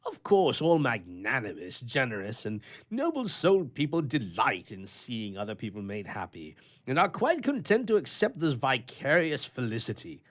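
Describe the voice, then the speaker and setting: A man playing a grumpy, flustered bachelor with a soft heart, telling his tale with theatrical bluster and stammering in old-fashioned storybook prose. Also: medium pitch, wildly swooping pitch, moderate pace. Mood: flustered, defensive.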